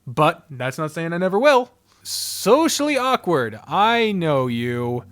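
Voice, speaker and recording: A man giving casual, off-the-cuff ranking commentary in an animated way, speaking straight to the things being ranked and swearing freely. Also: fairly high pitch, slow. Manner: animated, addresses the games directly, swears freely. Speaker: video host ranking visual novels.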